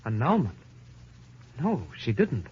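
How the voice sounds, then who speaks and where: A man's voice: medium pitch, big pitch swings, slow, slightly rough, heavily acted. A man playing an earnest, anguished young accountant in an old radio detective drama, dramatic and insistent. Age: young adult. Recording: dull, muffled old radio recording.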